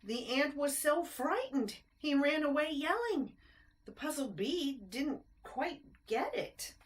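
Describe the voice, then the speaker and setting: A woman reading a rhyming picture book aloud to children, expressive, with chatty explanatory asides and simple questions for the listeners. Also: sits high, takes it slowly.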